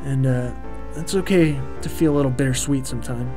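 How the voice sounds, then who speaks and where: A man in his thirties giving a rambling, relaxed behind-the-scenes commentary with quaintly formal turns of phrase, full of ums and uhs. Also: medium pitch, a little fast. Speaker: puppeteer and co-host of a commentary track. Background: faint background music.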